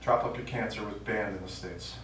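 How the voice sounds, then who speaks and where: An actor playing a stubborn old American war veteran and father, telling his memories haltingly in a stage play. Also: on the low side, steady tone, fairly bright tone, natural voice. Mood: grim recollection. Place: small theater stage, recorded from the audience. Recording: distant, very echoey theater recording, faint noise.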